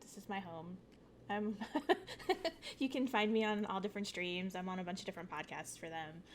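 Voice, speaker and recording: A woman's voice: medium pitch, moderate pace. Give me a woman introducing her character at a tabletop game, bubbly and offhand. A faint hiss sits behind her voice. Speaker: player, regular of the channel.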